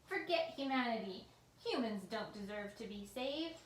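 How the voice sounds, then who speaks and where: A woman voicing a furious, scheming male villain scientist who yells and cackles at a computer. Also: on the high side, expressive, normal speed, a little hiss or hum, close to an everyday voice. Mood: gloating. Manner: yelling, cackling, turns syrupy. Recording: roomy echo with faint ambience.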